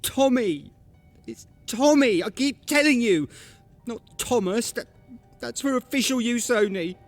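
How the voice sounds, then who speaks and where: High, frantic, confused young man. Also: lively intonation, a little slow, faint music behind. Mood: frantic, distressed. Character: agitated, confused young man insisting on his nickname. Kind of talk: audio drama acting.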